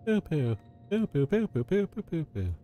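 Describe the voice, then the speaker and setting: A man voicing a tiny, gentle star creature from a video game, earnest and halting, babbling small nonsense syllables between phrases. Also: on the high side, sing-song, a little hiss or hum, voice pushed up. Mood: chirpy.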